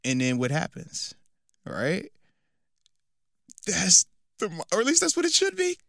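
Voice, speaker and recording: Lively former-player co-host, high animated voice. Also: male voice, unhurried, gravelly, dry recording, studio quality. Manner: animated, playful, repeats phrases for emphasis. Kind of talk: casual sports radio banter.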